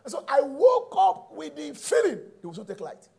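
A Nigerian man preaches in a high, widely swinging voice. He sounds gravelly and drives each teaching point home with emphatic repetition, often turning to his listeners with questions. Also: wildly swooping pitch, moderate pace, warm tone. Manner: emphatic, repeats key phrases, checks in with listeners. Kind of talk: teaching sermon.

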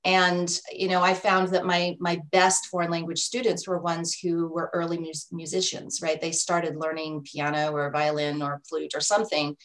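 Woman, life coach, interview guest, earnest, explanatory, lowish voice. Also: monotone, moderate pace, few pauses. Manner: earnest, explanatory, frequent "you know" and "right?". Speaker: guest, life coach, former language teacher. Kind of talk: interview answers.

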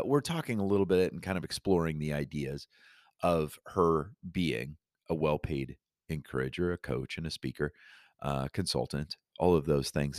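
A man's voice: low voice, dry recording, studio quality. A man hosting his own podcast, talking with upbeat encouragement straight to the listener while fighting a cold that leaves his voice a little scratchy. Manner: enthusiastic, encouraging, addresses listener directly. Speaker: podcast host and coach. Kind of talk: upbeat solo podcast talk. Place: his studio.

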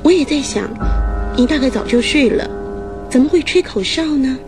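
An actress playing a troubled young bride-to-be, uneasy and insistent, in a radio drama. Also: female voice, high voice, animated, moderate pace. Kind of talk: Mandarin radio drama acting. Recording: radio drama with music behind.

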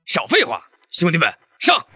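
A man as an animated, aggressive young thug. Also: sits high, moderate pace, slightly rough, no echo, studio quality, faint music behind, exaggerated voice. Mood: menacing. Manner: menacing, barking orders. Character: aggressive young street gang leader. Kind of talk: audio drama acting.

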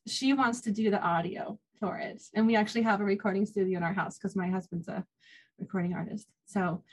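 A woman's voice: mid-range voice, fairly quick, low-quality recording. A woman chatting informally as an author guest in an interview, given to small laughs between phrases. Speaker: guest, self-published author. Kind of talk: casual interview chat.

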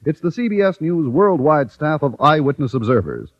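A man's voice: on the high side, very animated, normal speed. A man with an American accent reading scripted announcements and narration in a 1950s radio style. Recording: muffled old-time radio recording.

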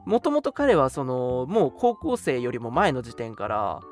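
Lively young man chatting casually. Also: medium pitch, moderate pace, soft background music. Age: 20s. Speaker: radio show co-host and drama studio member. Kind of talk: casual reminiscing chat.

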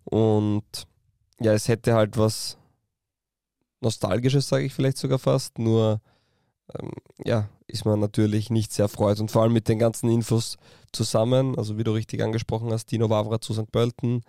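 Ein Mann mit österreichischem Akzent, der in einem lockeren Fußball-Podcast als Gesprächspartner plaudert. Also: male voice, lowish voice, little pitch movement, moderate pace, warm tone, no echo, clean studio sound. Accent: Austrian. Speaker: podcast co-host, football club sporting director. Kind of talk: casual football podcast chat.

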